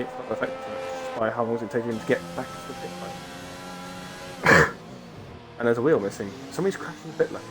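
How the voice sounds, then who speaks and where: Man commentating with a cough. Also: on the low side. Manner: rambling, chatty, self-interrupting. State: coughing, sore throat from a cold. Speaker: race commentator. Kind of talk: live sim-racing league commentary.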